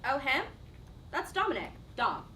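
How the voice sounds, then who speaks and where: A distant, noisy stage recording of a woman acting a bubbly young woman in her early twenties. Her voice is bright and fairly high, with gushing, playful delivery as in a play reading. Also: very animated, a little slow, exaggerated voice. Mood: excited, playful. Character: bubbly, giddy 23-year-old woman, defensive about romance. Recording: distant mic, audible room noise.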